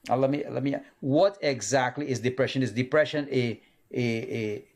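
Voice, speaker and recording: Halting man hosting a show. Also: medium pitch, darkish tone. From Guyana. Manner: halting, many fillers and restarts. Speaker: host of a medical call-in show. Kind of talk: casual live show hosting. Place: TV studio.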